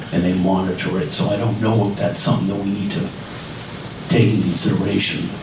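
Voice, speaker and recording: A man giving a public comment at a town meeting, tentative and questioning, with many fillers. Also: on the low side. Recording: echoey hall with noise, distant mic.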